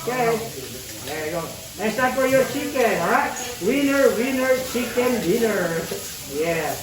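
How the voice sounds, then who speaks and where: A man's voice: very high-pitched, lively intonation, unhurried. A man from the Philippines, a teppanyaki chef keeping up playful, self-laughing jokes as he cooks. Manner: playful, jokey, laughs at himself. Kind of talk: joking patter while cooking tableside. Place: busy teppanyaki restaurant on a cruise ship. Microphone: camera held by a diner across the grill. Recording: echoey, distant, loud crowd. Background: diners chatting and food sizzling.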